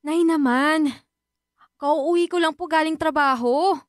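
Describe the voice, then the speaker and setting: An actress playing a dutiful, hurt young working daughter in a Filipino radio drama, earnest in manner. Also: female voice, sits high, unhurried, no echo, studio quality. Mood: concerned. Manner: earnest, pleading.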